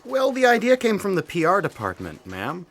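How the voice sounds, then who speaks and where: Meek male office underling for audio comedy: hesitant, deferential, pitch swinging widely. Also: wildly swooping pitch, moderate pace, fairly bright tone, exaggerated voice. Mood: nervously explaining. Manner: hesitant, obsequious. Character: meek, deferential male copy aide to editor-in-chief. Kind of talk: comic sci-fi audio theatre.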